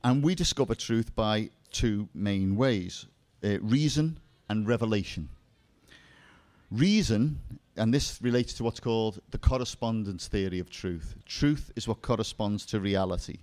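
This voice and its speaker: A man's voice: fairly low pitch, unhurried, a little mellow. A man with a Liverpool accent giving a seminar talk on faith to a live audience, with self-deprecating jokes and asides. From England. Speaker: seminar speaker.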